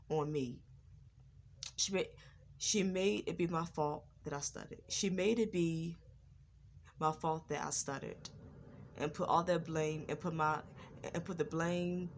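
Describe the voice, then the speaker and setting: Woman vlogger, stutters, slow and repetitive delivery. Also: sits low. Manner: repetitive, circling back over the same phrases. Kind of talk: personal testimony vlog.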